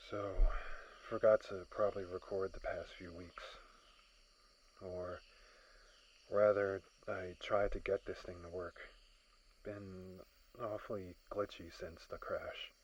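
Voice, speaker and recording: Guy acting a stranded space surveyor doing weary audio logs, slow n halting, kinda low voice, sci-fi audio drama. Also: level tone, slight background noise, poor audio. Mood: weary, subdued. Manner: halting, hesitant, sighing delivery. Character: stranded male chief surveyor, weary lone crash survivor. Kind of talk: improvised sci-fi horror audio drama, audio log.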